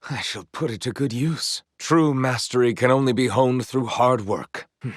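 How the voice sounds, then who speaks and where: An actor voicing a courteous, earnest and gently proud young knight in a video game, with formal, eloquent phrasing. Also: male voice, mid-range voice, moderate pace, no echo, studio quality, lightly acted. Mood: courteous, composed. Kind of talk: video game character voice lines.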